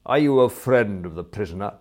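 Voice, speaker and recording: Stern police court magistrate, male reader in role: deep, formal, weighty delivery. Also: lively intonation. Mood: curt, official. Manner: ponderous, formal, deliberate.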